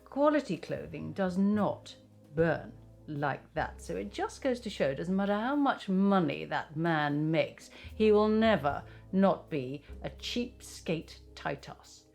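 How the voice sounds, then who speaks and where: An actress playing a haughty, gossipy middle-aged British aunt with a theatrical, scornful manner in an interactive film. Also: female voice, on the low side, lively intonation, slow pace, vocal fry, a little mellow, soft background music, exaggerated voice. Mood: gloating. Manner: theatrical, catty, gleefully scornful.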